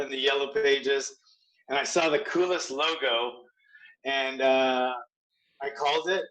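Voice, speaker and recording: A man in his sixties from Hawaii tells stories in a loose, halting way, circling back with restarts and fillers. His voice sits at an ordinary middle pitch. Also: a bit bright, poor audio. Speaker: guest martial arts trainer. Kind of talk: casual storytelling.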